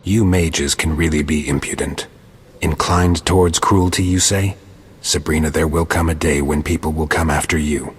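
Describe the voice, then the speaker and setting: A man playing a stoic monster hunter in a video game, terse and deadpan, with a gravelly, husky voice. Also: very deep voice, moderate pace, full character voice. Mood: dry, accusing. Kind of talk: scripted video game dialogue. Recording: long cavernous reverb.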